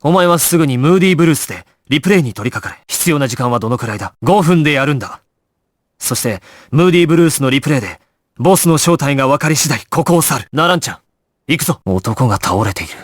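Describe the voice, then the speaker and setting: A man's voice: medium pitch, lively intonation, fast talker, dry recording, clean studio sound, close to an everyday voice. A male actor playing a resolute, composed young gangster squad leader in an anime video game, terse and authoritative in his clipped orders. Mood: firm, commanding, then grave, composed. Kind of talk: Japanese anime game voice acting, dramatic.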